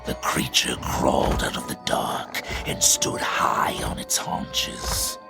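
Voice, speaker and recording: A man's voice: slow, lightly acted. A man playing a ghoulish gravedigger who narrates scripted horror tales in a theatrical, macabre, mock-sentimental way. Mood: ominous. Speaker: horror story host and narrator. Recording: music behind the voice.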